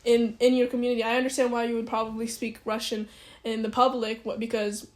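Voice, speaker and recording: A woman's voice: fairly high pitch, flat delivery, quick pace. A young woman interviewing a friend, asking long, rambling questions with many restarts. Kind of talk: oral history interview.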